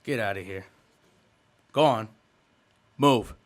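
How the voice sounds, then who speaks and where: A man's voice: on the low side, takes it slowly. A man playing a stern, watchful frontier marshal, terse and authoritative, in an old-time radio western. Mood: firm, threatening.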